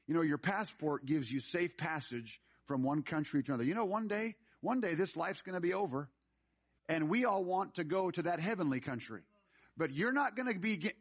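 A man's voice: a little high, lively intonation, moderate pace, breathy edge. A man preaching a sermon as a pastor to his congregation, emphatic and repeating phrases for effect, yet conversational, slipping into anecdotes and asides. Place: speaking before a live congregation.

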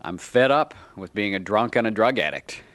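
A man's voice: lowish voice, lively intonation, normal speed, fairly bright tone, dry recording. An actor playing an earnest, insecure man struggling with addiction in a radio drama, sometimes hesitant and defensive. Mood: weary, despondent. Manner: hesitant, stammering in dialogue. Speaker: lead actor and narrator. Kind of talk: radio drama acting and first-person narration.

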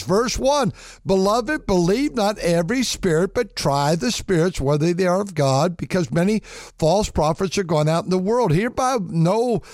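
A man preaching a sermon with a raspy voice, repeating words and reading scripture in old-fashioned English. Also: high voice, no room sound, clean studio sound.

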